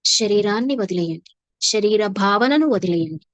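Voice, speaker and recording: A woman leading a guided meditation as a teacher, soothing and unhurried, with long pauses and repeated phrases. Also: medium pitch, lively intonation, no room sound. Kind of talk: guided meditation, slow instructive narration.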